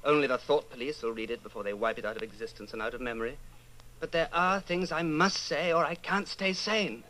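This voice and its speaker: A man's voice: medium pitch, a little fast, bright, forceful tone, lightly acted, rough recording. An actor playing an earnest, troubled, solitary man in an old-time radio style drama. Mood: brooding. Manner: earnest, brooding.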